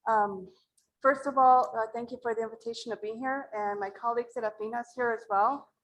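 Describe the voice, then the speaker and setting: Low-voiced woman from Mora. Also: fairly even intonation, a little fast, slight background noise, low-quality recording. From Mora, New Mexico. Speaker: acequia association representative. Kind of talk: speaking at a community meeting. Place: library community center meeting room.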